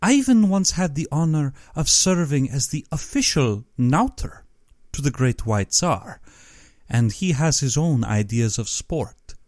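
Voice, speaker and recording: Storybook villain: male reader as a genteel, menacing general, pompous and over-polite, pitched up and swinging widely, unhurried. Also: darkish tone, dry recording, studio quality. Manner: pompous, exaggeratedly courteous. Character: genteel, menacing aristocratic general who hunts men.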